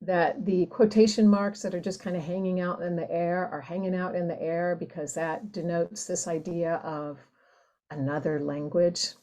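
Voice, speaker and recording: A woman poet talking reflectively and reading her work in a thoughtful, deliberate way. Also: fairly low pitch, level tone, dark tone. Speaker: poet, podcast guest. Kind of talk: reflective talk and poetry reading.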